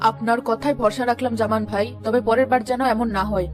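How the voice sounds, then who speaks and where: Woman voicing a brisk, exacting middle-aged customer. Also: on the high side, fairly even intonation, music in the background, lightly acted. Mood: firm. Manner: frank, firm, polite. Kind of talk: moral-story cartoon dialogue.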